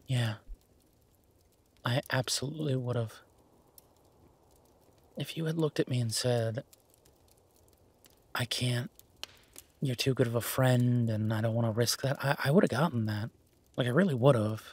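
Male actor as affectionate werewolf boyfriend, roleplay audio. Husky and breathy, unhurried, gentle, halting delivery, easygoing and content. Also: medium pitch. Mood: reflective, sincere. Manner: gentle, halting, murmured with long pauses. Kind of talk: intimate roleplay audio, slow soft conversational acting.

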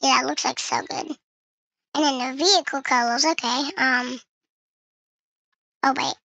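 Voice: high voice, steady tone, unhurried, vocal fry, sharp tone, no echo. A young-sounding gamer speaking through a voice changer, chatting to viewers and thinking aloud in casual game commentary. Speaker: gaming video creator.

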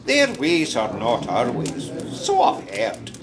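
A gossipy, animated Scottish storyteller. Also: male voice, mid-range voice, slow, noisy background. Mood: droll, confiding. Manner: chatty, gossipy, theatrical. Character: gossipy, droll Scottish local man telling a tale. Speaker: narrator. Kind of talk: radio drama storytelling.

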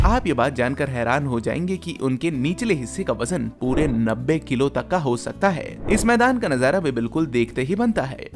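A man narrating a factory documentary as a scripted voice-over, explaining step by step and calling the viewers his friends. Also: medium pitch. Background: soft background music.

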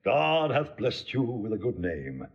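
A man's voice: mid-range voice, big pitch swings, a little slow, gravelly, lo-fi sound. An actor playing a pompous, muddle-headed constable instructing his watch in a classical stage comedy style. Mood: pompous instruction. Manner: pompous, self-important, instructing.

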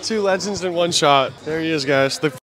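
A young man vlogging casually at a climbing gym, chatty and quick to hype others up, speaking into a handheld mic. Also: fairly high pitch, fairly bright tone, faint music behind. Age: young adult. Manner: fast, chatty, hyping up others. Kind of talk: casual vlog talk. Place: large indoor climbing gym.

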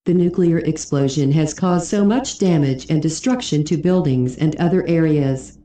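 Very low, mellow female news anchor. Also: normal speed, echoey room. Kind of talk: scripted news report.

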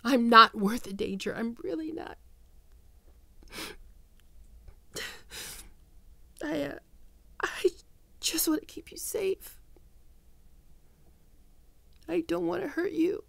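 Casting: woman to play anxious, soft-spoken ghoul girl best friend for intimate audio roleplay; higher-pitched, unhurried, halting, emotional delivery. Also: big pitch swings, gravelly, no room sound, full character voice. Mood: anguished, pleading. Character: young female ghoul, guilt-ridden, tender, anxious best friend. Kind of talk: intimate ASMR roleplay acting.

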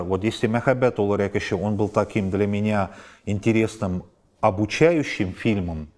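A man in his forties, a film director answering interview questions in an animated way, stacking examples with rising emphasis, recorded seated in a high-rise apartment at night. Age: 40s.

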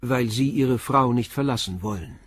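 An actor in a German crime radio play playing a calm, persistent middle-aged police commissioner who questions in a measured, blunt way. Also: male voice, medium pitch, breathy edge, no room sound, studio quality, lightly acted. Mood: probing.

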